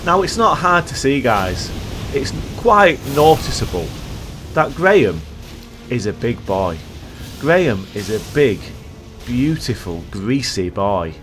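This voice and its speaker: Croaky man, playful game commentary. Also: medium pitch, very animated, takes it slowly, music in the background. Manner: playful, jokey, enthusiastic. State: croaky from a cold. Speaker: gaming video host. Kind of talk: casual gameplay commentary.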